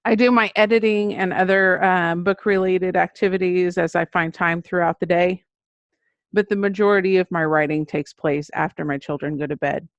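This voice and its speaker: A woman's voice: on the low side, fairly even intonation, normal speed, dry recording, highs cut off. American woman author in a dry recording. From Texas, United States. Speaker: children's book author, podcast guest. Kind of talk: interview conversation, explaining her writing.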